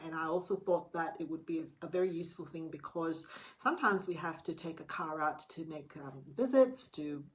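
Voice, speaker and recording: A woman's voice: low voice, fairly bright tone. A middle-aged woman talking candidly to camera in a casual late-night vlog, chatty and a little theatrical. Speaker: vlogger.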